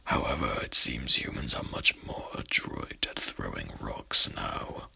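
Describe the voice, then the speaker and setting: A man playing a courtly, haughty headless horseman in a whispered ASMR roleplay, speaking with pompous, archaic formality in a breathy, gravelly voice. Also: extremely low pitch, big pitch swings, unhurried, pushed and bright, full character voice. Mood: rambling, calm.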